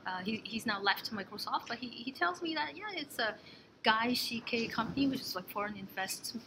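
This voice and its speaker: A woman's voice: a little high, animated, moderate pace. A young adult woman from Canada, answering interview questions casually and thoughtfully, often restarting her phrases. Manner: thoughtful, many restarts and fillers, soft trailing asides. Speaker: interviewee, exchange alumna. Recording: noisy room ambience.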